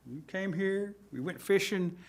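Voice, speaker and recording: A man voicing a vain, boastful turtle who declares himself a mighty warrior in an animated folktale, with emphatic bragging. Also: high-pitched, unhurried, voice pushed up. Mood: friendly, bossy. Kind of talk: animated oral folktale storytelling.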